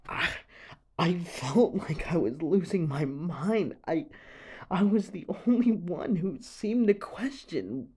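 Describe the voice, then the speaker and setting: A young man playing an anxious, haunted teenage boy in an audio drama monologue, speaking haltingly with stammers, sighs and a creaky voice. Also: high-pitched, expressive, warm tone, full character voice. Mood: distraught, disbelieving. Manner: halting, stammering, sighing, nervous breathy laughs.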